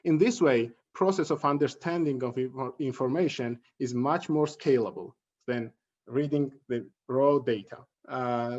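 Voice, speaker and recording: A slightly muffled, low-fidelity recording of a male tutor presenting a lesson. His voice sits a little high for a man. He speaks with a halting delivery, often repeating and correcting words. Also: a little mellow. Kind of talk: instructional presentation. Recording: dull, lo-fi audio.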